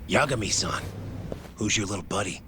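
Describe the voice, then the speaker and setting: A man's voice: on the low side, animated, normal speed, pushed and bright, slight background noise. An actor playing a smug yakuza henchman in a video game, with a condescending courtesy. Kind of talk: English video game voice acting.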